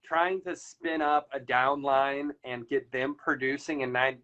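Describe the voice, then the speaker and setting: A man's voice: medium pitch, fairly even intonation, unhurried, fairly bright tone. Rambling casual man, slightly dull audio. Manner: loose, rambling, many filler likes. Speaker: podcast host. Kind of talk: casual chat.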